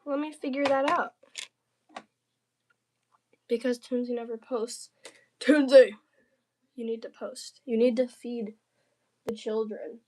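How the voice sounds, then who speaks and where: A woman from the southern United States chats casually on a livestream. Her voice sits a bit higher than most women's. She talks in a playful, slangy way, tossing out jokey banter as she replies to chat. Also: steady tone, takes it slowly, pauses often, darkish tone.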